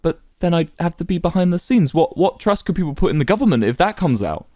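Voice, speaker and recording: A proud, volatile young man who stammers and strains his words, as a man puts him on for a story reading. He pitches the voice above the reader's own, and his tone swings widely. The recording is dry and close. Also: sing-song, quick pace, warm tone, no room sound. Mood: hesitant.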